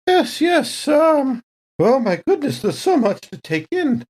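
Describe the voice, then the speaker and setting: A woman's voice: high voice, sing-song, a little slow, darkish tone, husky, heavily acted. A woman playing a polite, evasive company captain in improvised sci-fi role-play, hesitant and genteel in her phrasing. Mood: coyly evasive.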